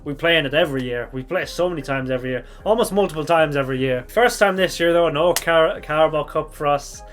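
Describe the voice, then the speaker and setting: A young man with a slightly croaky voice from shouting, chatting casually through a football reaction vlog, thinking aloud and correcting himself as he goes. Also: fairly high pitch, fast. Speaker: football fan channel host.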